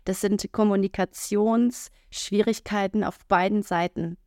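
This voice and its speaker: A woman's voice: mid-range voice, steady tone, a little slow, dark tone, no echo, clean studio sound. Frank, earthy woman podcaster. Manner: frank and earthy, many "ähm" fillers, acts out quoted lines. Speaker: podcast co-host. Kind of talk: casual podcast conversation.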